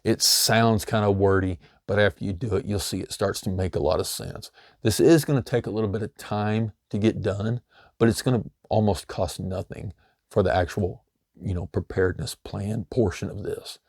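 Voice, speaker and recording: A middle-aged man, slightly hoarse, giving a loose, conversational how-to talk full of "you know" fillers. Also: on the low side, steady tone, normal speed, a little mellow, dry recording, clean studio sound. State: slightly hoarse, losing his voice. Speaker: homesteading channel host. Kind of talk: casual instructional talk.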